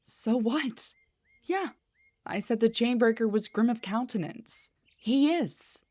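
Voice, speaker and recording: A woman voicing an indignant, defensive half-drow bard in a scripted fantasy roleplay audio, with a breathy, theatrical delivery. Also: on the high side, takes it slowly, a little mellow. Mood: scornful, incredulous. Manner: theatrical, sarcastic, emphatic, stammers when rattled. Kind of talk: scripted roleplay monologue, one side of a conversation.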